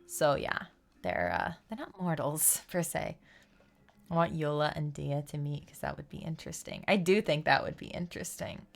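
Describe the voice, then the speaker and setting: Lively, lower-voiced woman streaming. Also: moderate pace, creaky. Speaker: game streamer. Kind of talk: casual live-stream chat and game commentary. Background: game music.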